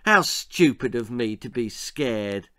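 A naive, careless storybook girl voiced by a man in near his own voice. She is sure of herself and unhurried in her speech. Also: medium pitch, expressive, sharp tone, pitched below the performer's own voice. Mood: self-reassuring. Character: huge careless young girl, polite, naive, self-assured.

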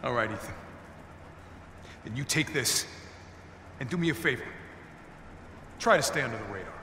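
Casting: hardened middle-aged captain, commanding and weary game hero, tense bright edge, reverberant room. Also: male voice, mid-range voice, lively intonation, normal speed. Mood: firm, explaining. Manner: terse, commanding, forceful. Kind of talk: cinematic video game acting. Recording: echoey reverberant space, faint noise.

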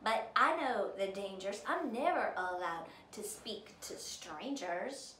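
A woman's voice: medium pitch, animated, slow, a bit bright, roomy sound, recorded from a distance, a little hiss or hum, voice pushed down. A woman voicing a spunky, polite young girl in a storybook, earnest and eager.

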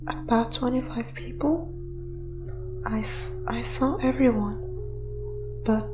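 Timid ghost for audio drama, female actor: highish, hesitant, gloomy, trailing off, music underneath. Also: lo-fi sound. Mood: anxious, hesitant.

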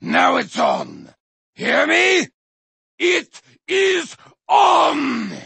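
Casting: deranged Viking berserker, high raspy shrieks, wildly swinging pitch, slow and drawn out, dry studio. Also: male voice, wildly swooping pitch, dry recording, full character voice. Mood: menacing growl. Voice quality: gravelly, raspy shouting with manic cackles. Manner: boastful, taunting battle cries, sudden drops to a menacing growl. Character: crazed Viking warrior racer, male, bloodthirsty and manic. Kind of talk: video game character voice lines.

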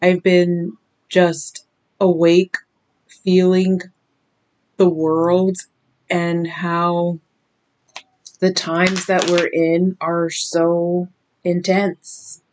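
A woman in her thirties, raspy from lack of sleep, giving a slow, emphatic tarot reading to the camera in a small bedroom. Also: lowish voice, level tone, darkish tone, low-quality recording. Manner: slow, emphatic, drawn-out warnings. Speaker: tarot reader. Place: small decorated bedroom indoors.

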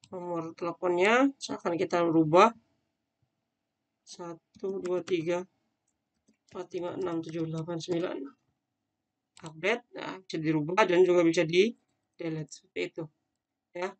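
A man teaching a screen-recorded coding tutorial, talking casually and thinking aloud with frequent fillers as he demonstrates. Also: high voice. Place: at a computer, voice-over on screen recording.

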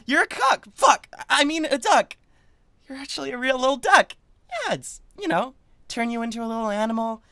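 A man playing an excitable, doting devil in a roleplay audio, stammering and gushing with awkward, playful affection. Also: very high voice, normal speed, no echo, studio quality, full character voice. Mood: giddy, playful. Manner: stammering, gushing, swings from gentle to manic.

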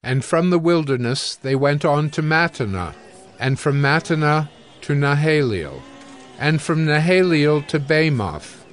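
A man narrating scripture from a script in a measured, solemn storytelling manner. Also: mid-range voice, slight background noise. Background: soft music.

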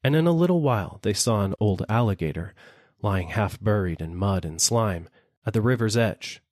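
A man narrating a children's storybook in a gentle, explanatory way. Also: fairly low pitch, animated, moderate pace, no echo, studio quality. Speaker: audiobook narrator. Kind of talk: storybook reading.

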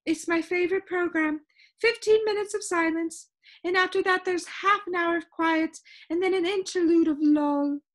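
A woman voicing a gentle, talkative, dreamy lady who adores silence, in a soft singsong storybook voice. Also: very high-pitched, steady tone, normal speed, voice pushed up. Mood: dreamy, rapt. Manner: wistful, genteel, chatty.

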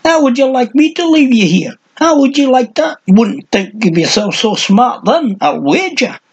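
A man voicing a cranky, hunched old hermit with a high, strident, shrill voice who rants and mutters. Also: sing-song, moderate pace, husky, exaggerated voice. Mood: angry ranting. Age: older.

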